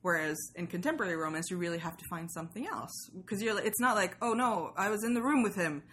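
A woman's voice: on the low side, animated, quick pace, a bit bright, slight background noise. A woman from Sweden chats casually as a podcast guest, rambling through asides and acting out imagined lines. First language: Swedish. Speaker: podcaster and writer, interview guest. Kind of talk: casual interview chat.

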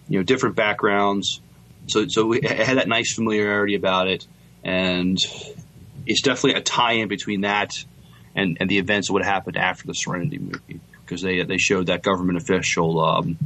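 A man co-hosting a podcast in casual chat, speaking in a hesitant, halting way. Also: fairly low pitch, fairly bright tone, a little hiss or hum, rough recording. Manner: hesitant, halting, repeats words. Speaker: podcast co-host.

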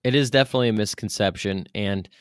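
Low-voiced man, casual podcast host. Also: fast, clear, resonant tone, no room sound, clean studio sound. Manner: informal, loosely phrased, meandering questions. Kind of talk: casual interview chat.

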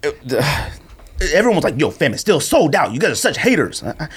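A man co-hosting a podcast in casual, slangy, animated chat, telling stories and often amused. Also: fairly high pitch, a little fast, breathy edge. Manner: animated storytelling, frequent laughter, slangy. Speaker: podcast co-host. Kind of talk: casual chat about sneakers.

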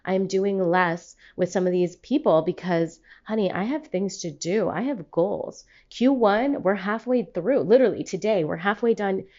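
A woman's voice: medium pitch, normal speed, few pauses, darkish tone, dull audio. A young woman talking casually in a voicemail-style monologue, chatty and emphatic, with slangy, profane phrasing. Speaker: podcast host.

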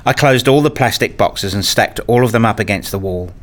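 Male narrator, lowish pitch, measured, introspective, scripted first-person audio drama storytelling. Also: fairly bright tone.

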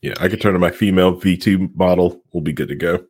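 A man's voice: low voice, steady tone, fast, a little mellow, husky, no echo. A man chatting casually and informally as a podcast co-host. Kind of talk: casual chat.

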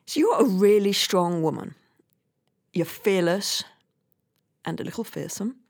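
A woman hosting an interview podcast, asking questions in a lively way. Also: fairly low pitch, a little slow, gravelly, breathy edge, no echo, studio quality. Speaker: podcast host.

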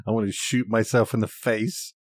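A man's voice: fairly low pitch, flat delivery, normal speed, a little hiss or hum. A man co-hosting a podcast in casual banter, given to exasperated, exaggerated ranting. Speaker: podcast co-host.

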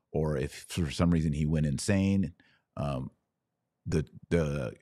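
An American man hosting a podcast, giving conversational commentary in an emphatic way with deliberate phrasing and pauses. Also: very deep voice, mellow, dry recording, clean studio sound. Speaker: podcast host. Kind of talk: conversational commentary answering listener questions.

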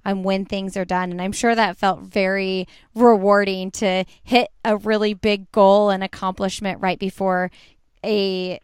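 A thirty-seven-year-old woman hosts a podcast in a relaxed, chatty way, asking her guest questions. Her voice is smooth and clear, recorded dry and close. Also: mid-range voice, unhurried, no room sound. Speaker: podcast host. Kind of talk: casual interview chat.

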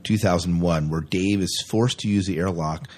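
A man's voice: low-pitched, moderate pace, darkish tone. A man hosting a podcast in casual chat, rambling along in a jokey way. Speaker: podcast host.

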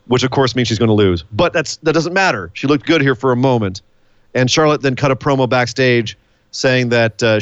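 A man's voice: mid-range voice, no room sound, dull audio. Man chatting casually on a podcast. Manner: animated, playful, hypes announcements. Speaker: podcast co-host. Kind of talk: casual wrestling podcast chat.

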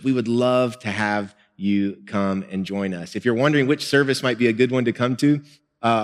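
Earnest pastor, low voice, hall echo. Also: male voice. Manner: earnest, conversational preaching with small laughs. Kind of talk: sermon and prayer. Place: church sanctuary. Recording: music and long hall reverb.

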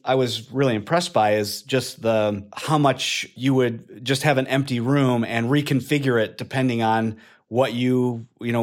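A man hosting a casual interview, chatty and loose, with many fillers and restarts as he asks questions. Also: mid-range voice. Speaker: interview host.

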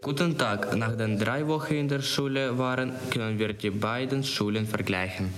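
A man's voice: medium pitch, normal speed, music in the background. A teenage male student from Ukraine with a Ukrainian accent, reading a scripted report. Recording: echoey room.

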